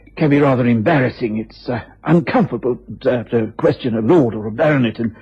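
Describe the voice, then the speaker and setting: An actor playing a cautious, deferential, hesitant police inspector in a radio drama, with a British accent. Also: male voice, mid-range voice, fast, breathy edge. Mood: uneasy, reporting. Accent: British English. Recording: muffled, hazy old radio drama recording.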